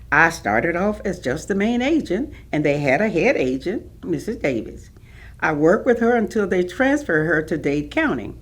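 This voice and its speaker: A woman actor playing a proud, firm administrator recalling her career in a staged reading, measured and matter-of-fact. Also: on the low side. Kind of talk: staged reading of oral history.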